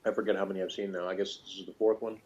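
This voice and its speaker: A man in a casual, unscripted review chat, talking in a halting, jokey way as a co-host. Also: sits low, level tone, a little mellow. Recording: muffled lo-fi audio, noticeable noise.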